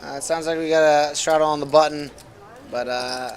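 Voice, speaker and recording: A man's voice: fairly high pitch, steady tone, normal speed. An American man giving casual live poker commentary as a guest, easygoing and chatty.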